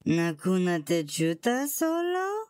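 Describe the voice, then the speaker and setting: A woman's voice: on the low side, very animated, slow, darkish tone, no room sound, clean studio sound. A woman voicing a menacing alien bounty hunter who babbles needlingly in an invented tongue, in an amateur drama reading.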